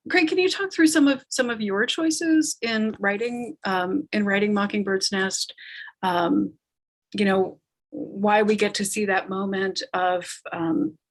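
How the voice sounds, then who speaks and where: Woman moderating with a medium-pitched voice. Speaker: moderator of a talkback. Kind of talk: informal live event moderating. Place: remote video call.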